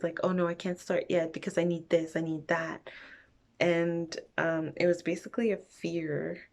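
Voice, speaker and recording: Young woman from montreal rambling on her vlog, low-ish voice, takes her time. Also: darkish tone. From Montreal, Canada. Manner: rambling, frank, goes off on tangents. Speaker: vlogger. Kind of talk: casual get-to-know-me chat.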